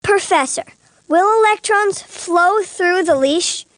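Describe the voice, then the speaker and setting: A woman's voice: extremely high pitch, slow, a bit bright, dry recording, heavily acted. A woman voicing an earnest young boy who works as a lawyer's assistant in a cartoon, asking eager, methodical questions. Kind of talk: animated educational courtroom dialogue.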